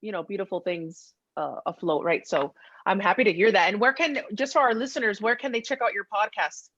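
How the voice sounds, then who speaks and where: A woman's voice: medium pitch, fairly quick, a bit bright, dry recording. A woman hosting a podcast, chatting casually and putting questions to a guest. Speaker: podcast host asking questions. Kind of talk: casual chat. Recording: slightly dull, lo-fi sound.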